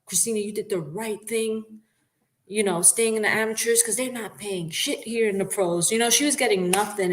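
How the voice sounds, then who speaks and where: Laid-back New York woman boxer. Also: mid-range voice. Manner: laughs often, relaxed and candid. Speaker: professional boxer. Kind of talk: casual interview.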